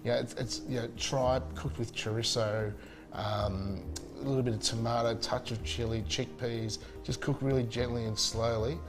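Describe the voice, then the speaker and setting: A man's voice: medium pitch, steady tone, moderate pace, over music. A man, a chef chatting casually and enthusiastically in an interview, with many fillers and restarts. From Spain.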